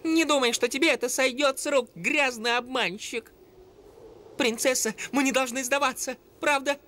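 Woman voicing a high-pitched plucky little cartoon critter. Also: fairly even intonation, moderate pace, fairly bright tone. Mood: defiant. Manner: earnest, plucky. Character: small, plucky young male animal sidekick. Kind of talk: dubbed cartoon acting. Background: music.

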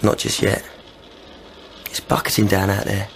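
An actor playing a pushy, menacing young army deserter in a radio drama, needling and wheedling in a creaky voice. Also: male voice, lowish voice, monotone, a little slow. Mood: possessive, menacing. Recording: clear background noise and ambience.

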